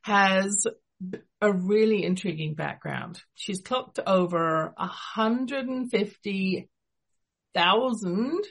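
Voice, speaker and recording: A woman's voice: mid-range voice, slow, vocal fry. English-accented woman hosting a radio interview. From England. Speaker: radio show host.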